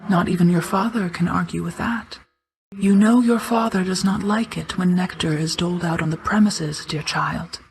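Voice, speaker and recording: A woman playing an ancient, regal mother goddess of night in a video game, speaking in a formal, measured, restrained way, hushed at times. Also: lowish voice, slight background noise, close to an everyday voice. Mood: composed, tender. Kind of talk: scripted video game character acting.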